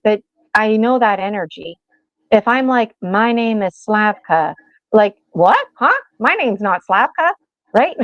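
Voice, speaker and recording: A woman's voice: mid-range voice, no echo, lo-fi sound. A woman in her forties giving a casual oracle card reading, chatty and rambling, laughing often and acting out little voices. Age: 40s.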